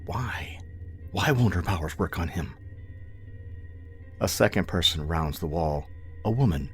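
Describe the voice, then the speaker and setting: A man's voice: low-pitched, expressive, a little slow. A man narrating scripted audio fiction in a dramatic storytelling style. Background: music.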